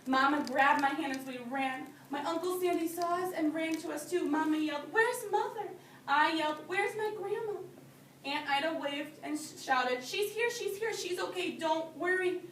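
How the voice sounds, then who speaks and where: A woman reading a picture book aloud to young children as their teacher, pausing to explain things in simple phrases. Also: very high-pitched, fairly bright tone. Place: indoor classroom. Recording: roomy, distant microphone with background noise.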